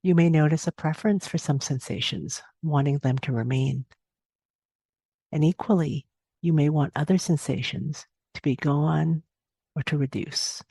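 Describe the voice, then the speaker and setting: A woman leads a guided meditation in a dark, relaxed voice with a creaky edge. She gives gentle, unhurried instructions and leaves long pauses between phrases. The recording is close and dry, with no room sound. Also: low voice, dry recording. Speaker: meditation teacher. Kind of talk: guided body scan meditation narration.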